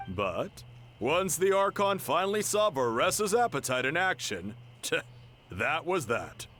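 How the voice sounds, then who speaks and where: A man's voice: on the high side, big pitch swings, a little slow, fairly bright tone, slight background noise. A man voicing a good-humored man who tells an amused story. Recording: game audio with faint ambience.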